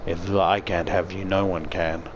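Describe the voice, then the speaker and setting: Man reading as a low-voiced possessive young girlfriend. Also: little pitch movement, measured pace, background noise. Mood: possessive, hurt. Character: young woman, devoted but possessive girlfriend.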